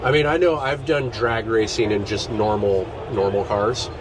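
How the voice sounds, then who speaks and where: A man's voice: lowish voice, fairly even intonation, moderate pace, background noise. A man hosting a podcast, asking friendly, rambling questions full of "like"s. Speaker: podcast host. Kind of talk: casual interview chat. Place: outdoors at a trade show.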